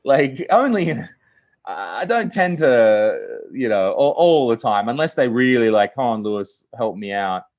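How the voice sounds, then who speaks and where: An Australian man talks on a podcast in a loose, conversational way. His voice sits at an ordinary middle pitch, and he jumps up and down in pitch as he tells his stories. He fills his talk with fillers and quick restarts. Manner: animated storyteller with frequent fillers and restarts. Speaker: podcast guest, comedy producer. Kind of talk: casual conversational interview.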